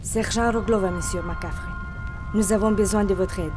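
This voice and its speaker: An actress playing a brisk, formal, mission-minded female army sergeant in an audio drama, with prominent music behind her. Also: mid-range voice, fairly even intonation, close to an everyday voice. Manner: formal, curt, businesslike. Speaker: military sergeant character. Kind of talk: French audio fiction acting.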